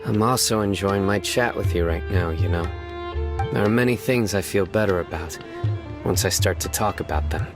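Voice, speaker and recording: An actor voicing a stern, reserved young lawman in a video game, speaking in a solemn, measured way. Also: deep voice, lightly acted. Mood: earnest, reflective. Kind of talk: scripted video game character dialogue. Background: music.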